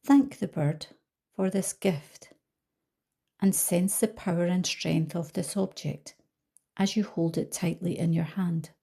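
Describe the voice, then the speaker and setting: A woman leading a guided visualisation as a coach, speaking calmly and gently with soft trailing phrases. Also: lowish voice, slow, gravelly, slightly rough. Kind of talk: guided meditation narration.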